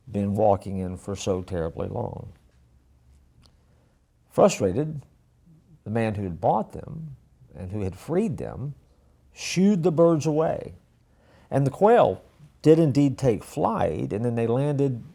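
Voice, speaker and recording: A man tells a church story in a relaxed, folksy way, with a touch of self-mockery. His voice is mellow and a little gravelly, and his phrase ends often fade down soft. The pitch sits in a middle range for a man. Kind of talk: casual storytelling with a moral.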